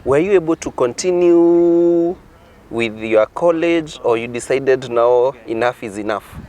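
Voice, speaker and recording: A man's voice: medium pitch, lively intonation, measured pace, a little mellow. A man with a Kenyan accent interviewing a guest, asking long, encouraging questions.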